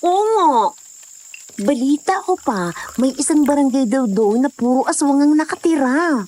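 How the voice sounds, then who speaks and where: A woman's voice: high voice, animated, a little slow, warm tone. A woman with a Filipino accent playing a gossipy, superstitious young hiker in a conversational audio drama. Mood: chatty.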